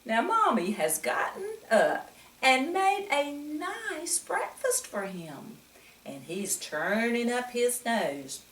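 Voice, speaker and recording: A woman reading a picture book aloud to children in an animated way, often putting questions to the listener. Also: a little high, takes it slowly. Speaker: children's story reader. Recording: echoey room, distant mic.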